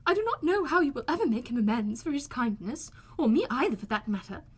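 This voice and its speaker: A woman voicing an excitable, fretful middle-aged mother in an audiobook, exclaiming and gushing, easily offended. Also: sits high, fast, breathy edge, voice pushed up, full character voice. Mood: delighted, gushing. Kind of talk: audiobook character voice.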